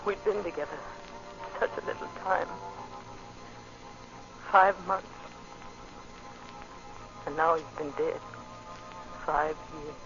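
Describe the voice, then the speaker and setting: Intense grieving widow, vintage radio. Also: female voice, low voice, fairly bright tone, heavily acted. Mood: mournful. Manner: slow, deliberate, intense delivery. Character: grieving, vengeful young widow confronting her husband's betrayer. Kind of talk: 1940s radio drama acting. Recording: muffled old radio recording with music.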